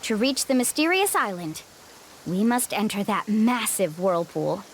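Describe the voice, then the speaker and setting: A woman's voice: mid-range voice, animated, unhurried, slight background noise, poor audio. A woman voicing a confident, upbeat young female guide in a video game, who speaks firmly and with conviction. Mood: determined. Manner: bright, confident, firm. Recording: game audio with faint music.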